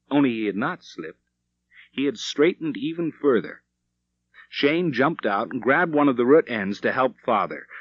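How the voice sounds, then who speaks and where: Animated male storyteller, dry sound. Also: medium pitch, dry recording. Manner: dramatic, vivid delivery. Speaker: audiobook narrator. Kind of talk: expressive storytelling narration of a Western novel. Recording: slightly dull, dry studio recording.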